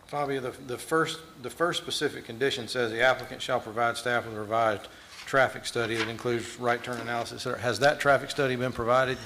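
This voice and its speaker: A man's voice: medium pitch, steady tone, brisk, few pauses, bright, forceful tone, slight background noise, rough recording. A man on the commission board asking staff direct questions at a public meeting, repeating words as he goes.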